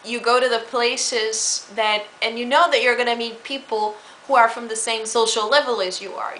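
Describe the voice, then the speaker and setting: A woman's voice: on the high side, pushed and bright, slight background noise. A woman from Brazil, explaining her home country in a chatty, casual way with fillers and restarts. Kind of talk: casual spoken talk.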